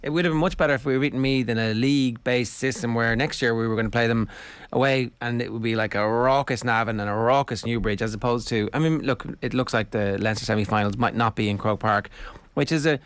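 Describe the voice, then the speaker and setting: A man's voice: medium pitch, smooth, no room sound. An Irish man hosting a sports radio show, blunt and wry in casual studio banter. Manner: blunt, wry, wraps up segments briskly.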